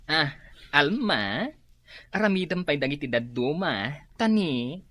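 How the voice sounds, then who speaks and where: A nosy ilocano office gal, big exaggerated yelps, slow talk. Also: female voice, deep voice, big pitch swings, slight background noise, heavily acted. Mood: teasing. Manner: teasing, with loud exaggerated exclamations. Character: gossipy flattering female office worker. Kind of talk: radio comedy drama acting.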